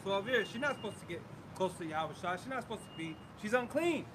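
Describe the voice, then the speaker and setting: A man with a high, quick delivery teaches scripture out on a city street. He talks blunt and colloquial, and loud traffic roars behind him. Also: animated. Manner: blunt, assertive, colloquial, calls people bro. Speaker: street preacher. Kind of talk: outdoor street teaching and debate.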